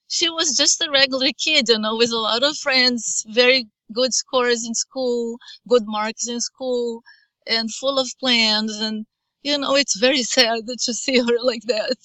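Middle-aged woman, Brazilian Portuguese accent, earnest testimony, bright tense tone, dry close sound. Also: fairly high pitch, no echo, rough recording. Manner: halting, earnest, with frequent restarts. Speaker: mother giving testimony. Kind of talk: personal testimony.

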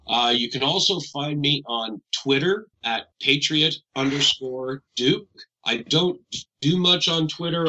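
This is a man from Canada chatting as a guest on a talk radio show. He rambles in a loose, conversational way and keeps correcting himself. His voice is very bright and forceful, at a middling pitch. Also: muffled recording. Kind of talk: casual radio interview.

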